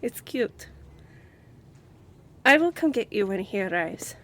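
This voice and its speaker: A woman playing a composed, confident young lawyer and boss in an audio roleplay, with a measured, earnest delivery. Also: medium pitch, big pitch swings.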